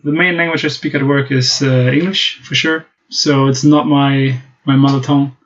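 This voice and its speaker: German guy speaking english, flat even voice, lots of uhs, dull lo-fi audio. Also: mid-range voice, moderate pace. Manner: hesitant, many fillers and restarts. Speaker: guest. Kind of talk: casual interview talk.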